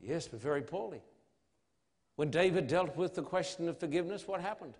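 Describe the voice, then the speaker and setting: A man preaching an evangelistic sermon in a solemn, emphatic manner, with dramatic pauses and rhetorical questions. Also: on the high side, expressive, a little mellow, husky. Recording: long hall reverb.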